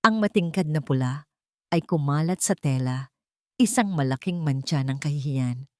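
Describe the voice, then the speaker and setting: A woman narrating a scripted romance story in a deliberate, emotive, dramatic way. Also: low-pitched, dark tone, no echo, studio quality.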